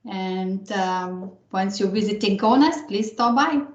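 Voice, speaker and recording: A woman introducing her hotel in an online meeting, speaking softly and hesitantly. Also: medium pitch. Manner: slow, soft, hesitant. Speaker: hotel representative. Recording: roomy, dull video-call audio.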